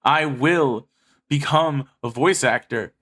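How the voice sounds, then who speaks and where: A man's voice: mid-range voice, takes it slowly, fairly bright tone. A man speaking earnestly and emphatically to his viewers in a personal monologue, swearing freely. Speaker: video creator addressing subscribers.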